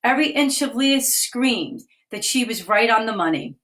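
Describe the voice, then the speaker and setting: A woman's voice: on the high side, expressive. Woman reading a children's story aloud. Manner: gentle, clear read-aloud pacing, pausing to point out the pictures. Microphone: phone or webcam on a live video stream.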